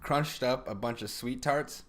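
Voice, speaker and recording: A man's voice: mid-range voice, unhurried, a bit bright. A man in his twenties talking informally and wryly as co-host of a casual comedy podcast. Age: 20s.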